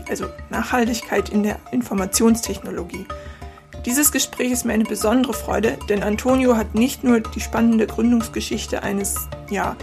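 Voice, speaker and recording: A German woman presents a podcast as its host. Her voice sits a little high for a woman and has a strong creak in it. Faint music plays behind her. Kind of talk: scripted podcast introduction, then casual interview.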